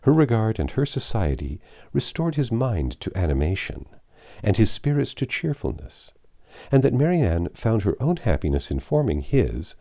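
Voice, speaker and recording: A man narrating a classic novel aloud as an audiobook reader, with measured, expressive storytelling phrasing. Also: low voice.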